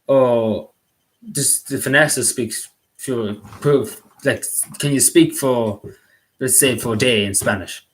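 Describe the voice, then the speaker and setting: A man's voice: mid-range voice, rough recording. Hesitant Derry-accented young man streaming. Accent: Derry, Northern Irish. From Northern Ireland. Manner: halting, rambling, apologetic, full of restarts. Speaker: livestreamer. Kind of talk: casual live-stream chat with viewers.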